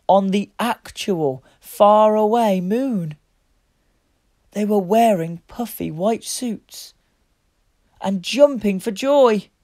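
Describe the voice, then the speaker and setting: A woman reading a picture book aloud to young children, with deliberate, expressive phrasing. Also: on the low side. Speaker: children's story reader.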